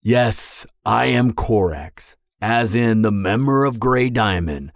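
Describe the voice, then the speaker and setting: A man's voice: fairly low pitch, animated, takes it slowly, vocal fry, dry recording, clean studio sound, exaggerated voice. A man playing an awkward, earnest, by-the-book supervillain on a date in an audio drama, speaking in a hesitant, stammering, matter-of-fact way. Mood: sheepish, resigned. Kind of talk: conversational roleplay audio drama.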